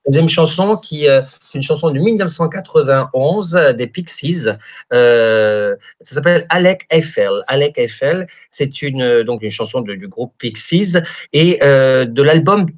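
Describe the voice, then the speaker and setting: Guy hosting a radio chat, lots of "euh" and restarts, middle-pitched voice. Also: lively intonation, unhurried. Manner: hesitant, many fillers and restarts. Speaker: radio show host.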